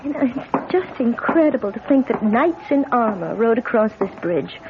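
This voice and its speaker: An actress playing an anxious, impressionable young American wife in a radio drama, with an American accent. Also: female voice, a little high, lively intonation, normal speed, background noise. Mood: dreamy, fascinated. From United States. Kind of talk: old radio drama acting. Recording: lo-fi old radio broadcast with music.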